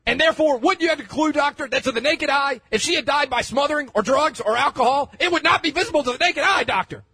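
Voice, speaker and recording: A man's voice: very high voice, quick pace, sharp tone, no echo, exaggerated voice. A man voicing a pushy, dramatic female cable-news legal host, interrogating guests in clipped, insistent phrases as a parody reading. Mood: insistent, grilling.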